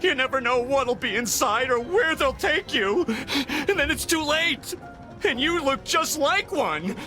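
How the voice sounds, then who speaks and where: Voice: high-pitched, expressive, measured pace, pushed and bright, heavily acted. An actor playing a jittery, neurotic sea townsperson in a cartoon video game, with a blunt, exasperated delivery. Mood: panicked. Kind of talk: animated video game voice acting. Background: game music.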